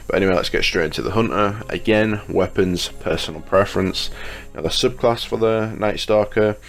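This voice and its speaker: A man's voice: on the low side, normal speed, vocal fry. A man giving a casual, chatty video game guide as a YouTube host, sounding slightly congested with a cold. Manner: informal, chatty, addresses viewers as guys. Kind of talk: casual video game guide commentary over gameplay menus. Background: music.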